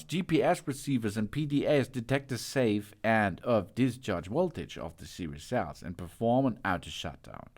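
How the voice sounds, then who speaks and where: A man with a lowish voice presents an unboxing explainer at a very slow pace. His pitch moves widely as he talks. He partly reads technical text aloud, haltingly, stumbling over words and letters as he goes.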